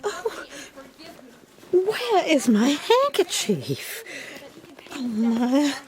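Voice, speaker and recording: A flustered society matron in a period radio drama. Her voice is piercingly high and swooping, with slow, drawn-out exclamations full of fuss. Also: sing-song, full character voice. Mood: flustered, dismayed. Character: fluttery, distressed society matron chaperone.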